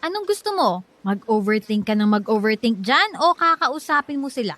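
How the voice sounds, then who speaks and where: A woman's voice: a little high, big pitch swings, moderate pace, fairly bright tone, no echo. A young woman with a Filipino accent acting a blunt, nagging teenage best friend in a radio drama. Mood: exasperated, nagging.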